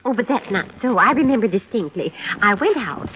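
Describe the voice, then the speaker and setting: A woman's voice: fairly high pitch, expressive, fairly quick, natural voice. An actress playing a fussy, insistent middle-aged woman witness in a 1950s radio drama, prim and emphatic, growing defensive. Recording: dull lo-fi old radio broadcast with hiss.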